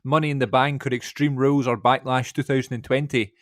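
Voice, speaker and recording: A young man talks quickly and cheerfully as a podcast co-host. His voice is mid-pitched and sits close and dry on the mic, with no room sound around it. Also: flat delivery, dry recording. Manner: quick, chatty and upbeat. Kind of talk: casual chat.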